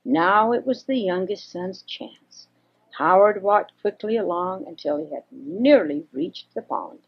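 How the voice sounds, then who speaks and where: An older woman reads a children's story expressively, with a husky voice, on a homemade cassette. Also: lowish voice, measured pace. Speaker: storyteller reading for children and grandchildren. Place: home recording. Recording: dull homemade 1980s cassette recording.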